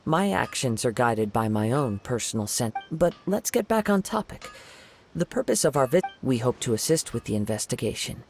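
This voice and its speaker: A humble, thoughtful young samurai in a video game. His medium-pitched voice is composed and formal, with light music underneath. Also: very animated, normal speed, creaky, a little mellow. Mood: calm, thoughtful. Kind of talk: video game character acting.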